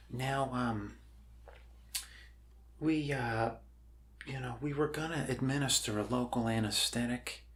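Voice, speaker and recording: Male ASMR roleplay role, acted by a man: bumbling, apologetic doctor, unhurried and halting, reassuring, faint noise behind. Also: mid-range voice, fairly bright tone, close to an everyday voice.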